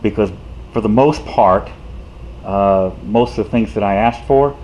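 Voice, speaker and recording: A man, a citizen activist, talking casually to the camera in a frustrated, rhetorical way, halting often with fillers. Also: lowish voice, darkish tone, muffled recording, slight background noise.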